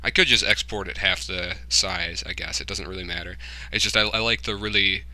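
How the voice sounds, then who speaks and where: A man giving a casual screen-recorded software tutorial, informally thinking aloud and muttering to himself as he works. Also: low-pitched, steady tone, fairly quick, slight background noise. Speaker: tutorial host, hobbyist game developer.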